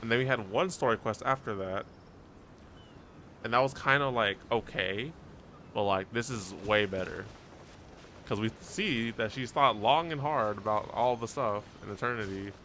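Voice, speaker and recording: A young man giving casual live gameplay commentary as a streamer, informal and halting as he thinks aloud. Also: on the low side, sharp tone. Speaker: gaming streamer. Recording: noisy stream with game sound. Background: game ambience.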